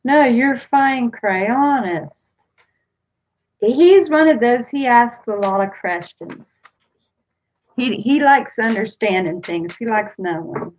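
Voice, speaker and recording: A woman's voice: a little high, lively intonation, slow pace. Chatty woman on a lo-fi webcam stream. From United States. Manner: chatty, reassuring, answers viewers' comments one by one. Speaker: vlogger reading live chat. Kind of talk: casual live-stream chat. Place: small room at home, indoors. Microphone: webcam built-in mic. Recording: slightly dull lo-fi webcam audio.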